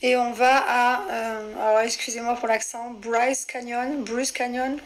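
A woman's voice: on the high side, flat delivery, unhurried, a little hiss or hum. A woman, chatting casually and rambling straight to her followers on a phone held at arm's length. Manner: chatty, rambling, addresses viewers directly. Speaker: lifestyle influencer. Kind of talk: casual selfie chat to followers. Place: indoors, small wooden cabin room.

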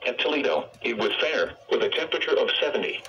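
Bright synthetic male weather radio announcer. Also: mid-range voice, expressive, fast, recorded from a distance, lo-fi sound. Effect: played through a weather radio speaker. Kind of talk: scripted weather bulletin. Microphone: phone camera picking up a radio speaker. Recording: radio speaker picked up from afar, faint noise.

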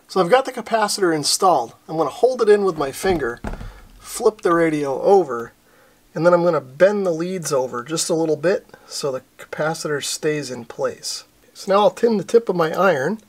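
An American man in his forties giving casual, explanatory narration as host of an electronics repair tutorial. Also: a little high. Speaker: host of a radio repair channel.

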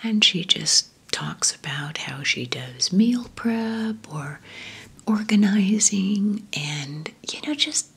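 A woman whispering gently through an ASMR question-and-answer video, talking fondly and slowly with soft fillers. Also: mid-range voice, very animated, vocal fry, slightly rough. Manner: slow, gentle, affectionate rambling with fillers. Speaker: ASMR creator.

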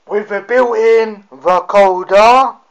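A man's voice: high-pitched, slow pace, clean tone, muffled recording. A man giving a casual demonstration of a synthesizer as an amateur musician, recorded on a phone in a small room at home. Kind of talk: casual gear demo.

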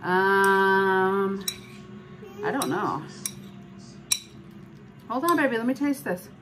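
A woman's voice: mid-range voice, animated, very slow, background noise. Chatty woman narrating her home cooking. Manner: chatty, self-narrating, filler-heavy, apologetic. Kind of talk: casual cook-along chat. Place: home kitchen, indoors.

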